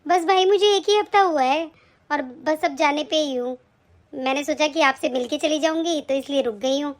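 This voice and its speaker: A woman voicing a gentle, conciliatory young married sister in a family skit. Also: high-pitched, normal speed, natural voice. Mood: friendly. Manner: soft, conciliatory.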